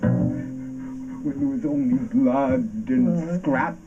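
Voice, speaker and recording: An actor playing a fervent, accusing orator in a surreal avant-garde radio play, declaiming haltingly with drawn-out words. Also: male voice, mid-range voice, steady tone, loud music behind the voice. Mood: accusing, impassioned. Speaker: stage actor. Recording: dull lo-fi stage performance recording.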